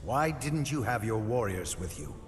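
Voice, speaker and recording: A man's voice: medium pitch, animated, moderate pace, noisy background, natural voice. A man voicing a terse, stoic, determined knight commander in a fantasy video game. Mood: grim, resolute. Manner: terse, resolute delivery.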